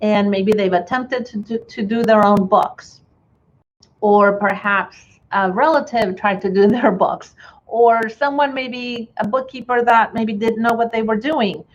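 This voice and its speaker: A woman's voice: a little high, fairly even intonation, unhurried, lo-fi sound. A woman from Chile with a slight Chilean Spanish accent, presenting a bookkeeping webinar in a friendly way with many fillers. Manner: friendly, filler-heavy, restarts often.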